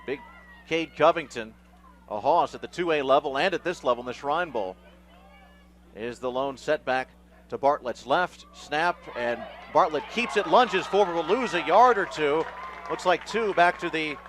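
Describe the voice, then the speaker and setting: Bright, high-pitched man calling football live. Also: normal speed. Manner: clipped phrases, emphatic calls on big plays. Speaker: play-by-play announcer. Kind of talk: live radio football play-by-play.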